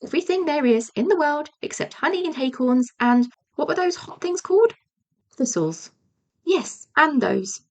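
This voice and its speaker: A woman's voice: sits high, animated, moderate pace, pitched above the performer's own voice. A woman voicing a big, eager young tiger in a children's story, with exuberant, boastful, childlike bursts.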